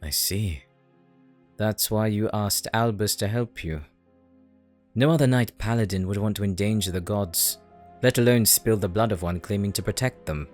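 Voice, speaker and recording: A man's voice: fairly low pitch, normal speed, close to an everyday voice. A man playing a proud, bookish paladin inventor in an audio roleplay, speaking formally and precisely with condescension, over background music. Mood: solemn. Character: proud, bookish male inventor-paladin, haughty elder brother.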